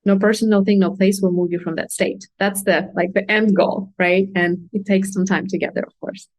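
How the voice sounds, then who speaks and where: A woman's voice: mid-range voice, fairly even intonation, normal speed, a little mellow, no room sound, rough recording. Female coach as interview guest, mid-range voice, candid and earnest, recorded dry. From Russia. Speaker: podcast guest, consultant and coach. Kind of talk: conversational interview explanation.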